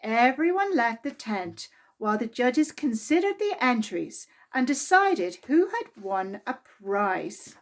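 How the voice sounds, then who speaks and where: Lady reading a picture book to little kids, high voice, really slow and expressive storytime style. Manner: deliberate, expressive reading aimed at young children. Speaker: library storytime reader. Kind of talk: children's storybook narration.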